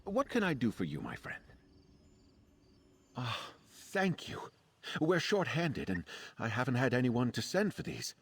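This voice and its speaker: An actor playing an earnest, concerned relief leader in a video game, speaking formally and politely. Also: male voice, mid-range voice, wildly swooping pitch, a little slow, creaky, pauses often, slight background noise, heavily acted. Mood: courteous. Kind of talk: video game character dialogue.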